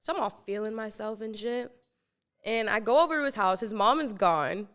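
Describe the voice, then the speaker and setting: A woman's voice: medium pitch, normal speed, a bit bright, clean tone. Chatty young woman, echoey room. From San Francisco Bay Area. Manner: chatty, slangy, full of "like" and "you know". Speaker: podcast host. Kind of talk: casual storytelling.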